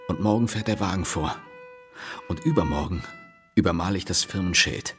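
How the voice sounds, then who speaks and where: An actor playing a tormented, fatalistic husband in a radio drama, husky-voiced, speaking in solemn, theatrical, brooding pronouncements. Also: male voice, low voice, moderate pace, fairly bright tone, soft background music. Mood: grim, foreboding. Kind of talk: stage-like German radio play acting. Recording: long hollow reverb.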